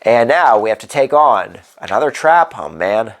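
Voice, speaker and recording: A man's voice: mid-range voice, very animated, normal speed. A congested man hosting a solo board game playthrough, thinking aloud as he works through the rules and dice rolls. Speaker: board game channel host.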